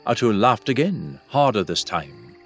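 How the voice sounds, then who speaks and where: A man's voice: on the low side, big pitch swings, a little slow, slightly rough. A man reading a fantasy novel aloud as scripted audiobook narration. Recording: soft music behind the voice.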